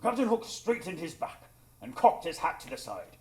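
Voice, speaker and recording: An actor playing a loyal doctor who narrates his adventures in a live radio drama, with earnest, measured storytelling. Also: fairly high pitch, sing-song. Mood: reflective, grand.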